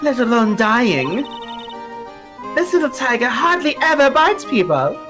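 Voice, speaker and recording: A bubbly young circus animal tamer with a teasing streak, voiced by a woman, her high voice bouncing through a lively, sing-song range. She sounds sweetly pushy and keeps coaxing, and soft music runs underneath. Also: unhurried. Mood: cheerful. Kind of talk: voicing game dialogue on a live stream.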